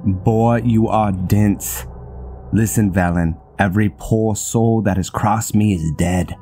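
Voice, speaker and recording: Guy doing folksy cocky vampire assassin for a game, drawly and taunting, kinda low dark voice. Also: steady tone, takes it slowly, faint music behind. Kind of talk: fantasy game character dialogue.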